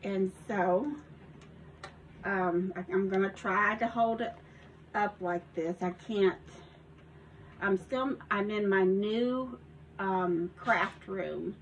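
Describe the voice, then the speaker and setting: A woman's voice: mid-range voice, slow pace, pauses often, muffled recording, slight background noise. A woman with a Southern accent chatting casually while showing off a handmade journal in her home craft room, rambling with restarts and little giggles. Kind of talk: casual show-and-tell vlog. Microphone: phone.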